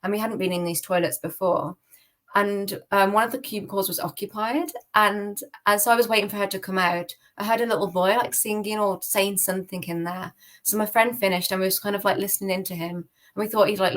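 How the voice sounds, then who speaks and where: A British woman speaks as a podcast guest, recounting personal experiences in an informal, candid way. Her pitch sits in an ordinary middle range for a woman. The delivery is conversational, loosely phrased and sprinkled with casual filler words. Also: brisk. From United Kingdom. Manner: candid, conversational, peppered with "like" and "kind of". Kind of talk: informal personal storytelling in an interview.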